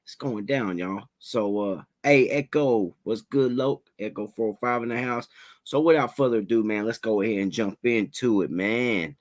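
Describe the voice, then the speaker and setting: A man hosting a casual livestream interview, talking in relaxed slang, a little raspy from a head cold. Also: fairly low pitch, normal speed. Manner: laid-back slangy hype, fillers like "you know what I'm saying".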